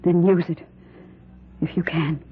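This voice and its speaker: A woman's voice: fairly low pitch, fairly even intonation, a little slow, slight background noise, close to an everyday voice. An actress playing a devoted, resolute wife in an old-time radio drama, earnest and insistent with her husband. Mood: challenging, steady. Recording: thin, tinny old radio recording.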